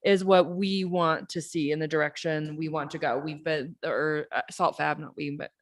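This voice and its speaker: Lowish-voiced woman, city staff, chatty at a council meeting. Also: fairly even intonation. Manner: quick, chatty, laughs easily. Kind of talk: casual meeting talk. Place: council chamber.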